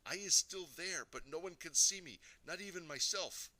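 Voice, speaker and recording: A man voicing a boastful, excitable young boy telling his dreams in childlike, ungrammatical speech for a storybook. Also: fairly high pitch, moderate pace, pitched above the performer's own voice, poor audio. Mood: excited.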